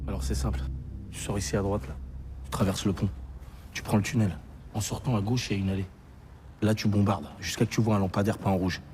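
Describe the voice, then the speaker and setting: An actor playing an impatient crook giving curt instructions in a crime film. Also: male voice, on the low side, fairly even intonation, quick pace, long pauses, darkish tone, slight background noise, lightly acted.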